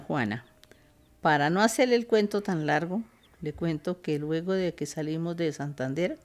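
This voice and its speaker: An older woman with a Colombian accent playing a warm, contented grandmother reminiscing with her family in an amateur radio play. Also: lowish voice, natural voice. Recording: music under the voice. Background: music.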